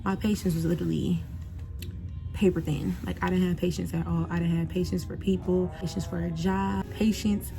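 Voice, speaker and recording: A woman's voice: lowish voice, level tone, normal speed, vocal fry, music in the background. A woman, talking casually and directly to her viewers in a reflective vlog. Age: 20s. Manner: chatty, direct address to viewers. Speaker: vlogger.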